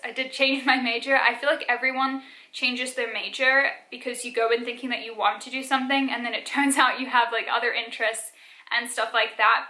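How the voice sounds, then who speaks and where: A young woman in her twenties talks through viewer questions in a relaxed, chatty way, her accent a blend of South African, Australian and American. Her voice is bright and sits a little high. The sound rings around an echoey room. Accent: mixed South African, Australian and American. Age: 20s. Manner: chatty, many "like" fillers, frequent light laughs. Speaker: vlogger. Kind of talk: casual Q&A vlog.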